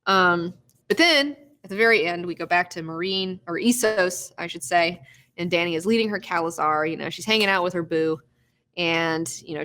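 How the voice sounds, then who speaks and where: A woman's voice: lowish voice, sharp tone. A young woman hosting a live recap show, chatting casually, laughing often and swearing freely.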